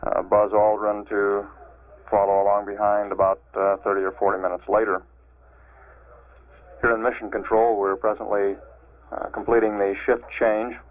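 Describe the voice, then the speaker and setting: An American man giving measured official spaceflight status commentary as a mission control announcer, matter-of-fact and halting, over an archival radio transmission. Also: fairly low pitch, little pitch movement. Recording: narrow-band, phone-like archival radio transmission.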